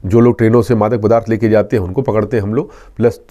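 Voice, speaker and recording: A man's voice: on the low side, fairly even intonation, quick pace, dark tone, dull audio. A man answering interview questions as a police inspector, earnestly instructing and repeating his key points. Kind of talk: explanatory interview answers with safety advice.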